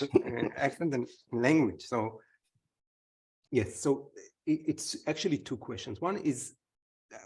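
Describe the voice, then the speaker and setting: A man's voice: medium pitch, a little mellow. A man with an Israeli accent facilitating a webinar, thanking speakers and calling on the next participant. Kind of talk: moderating a webinar.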